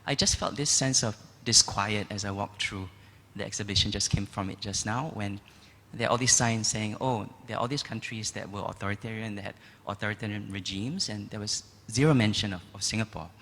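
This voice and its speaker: A man in the audience asking a panel a question, halting and searching for words. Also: on the low side, fairly bright tone. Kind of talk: question from the floor. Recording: long hall reverb with faint background noise.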